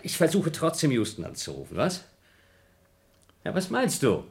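An actor playing an astronaut on a moon station who is agitated and impatient and keeps exclaiming. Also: male voice, a little high, wildly swooping pitch, normal speed, a little hiss or hum. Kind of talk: 1970s radio play acting.

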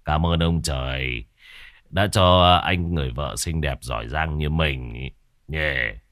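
A man voicing a greedy, scheming husband who gloats in a sly, low murmur. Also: slow pace, a bit bright, dry recording, clean studio sound, heavily acted. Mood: sly gloating.

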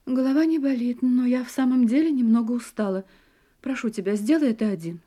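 A woman's voice: fairly high pitch, fairly quick, warm tone, lightly acted. An actress in a Russian audio drama playing an anxious wife who hides her terror behind sweet domestic composure. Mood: evasive, casual.

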